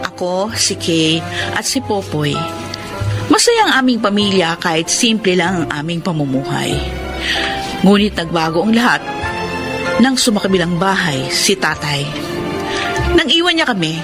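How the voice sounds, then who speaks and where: A woman's voice: on the low side, sing-song, normal speed, pauses often, music in the background. A woman with a Filipino accent playing a bossy but caring eldest sister in a radio drama, with a nagging manner. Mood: sad, reflective. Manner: nagging, scolding. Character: Minerva, bossy caring eldest sister raising two younger siblings.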